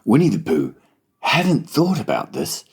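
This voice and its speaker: A man's voice: mid-range voice, wildly swooping pitch, unhurried, creaky, husky. A man narrating a children's story as a scripted audiobook.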